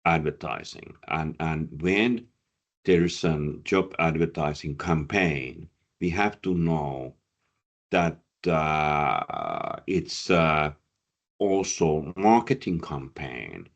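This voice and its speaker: A man's voice: rumbling low, animated, takes it slowly, vocal fry, lo-fi sound. A man coaching job seekers, answering audience questions with many fillers and repeated words, a Finnish speaker talking in English. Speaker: career coach and presenter.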